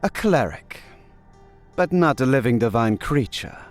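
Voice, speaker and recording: An actor playing an enigmatic, knowing god of deception in a fantasy audio drama, speaking in a measured, formal, cryptic way. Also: male voice, mid-range voice, expressive, natural voice. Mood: thoughtful. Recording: music bed under the voice.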